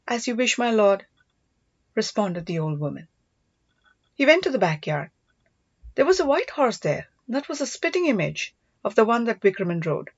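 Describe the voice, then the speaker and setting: A woman's voice: mid-range voice, no echo. A woman reading a story aloud as scripted audiobook narration. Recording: dull, lo-fi, dry close recording.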